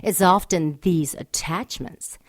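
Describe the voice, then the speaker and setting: An actress playing a grave, ominous narrator, speaking in a solemn and measured manner in an audio drama. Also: female voice, lowish voice, dry recording. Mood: grave, instructive. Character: grave, ominous female narrator teaching about guardians. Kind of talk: solemn scripted drama monologue.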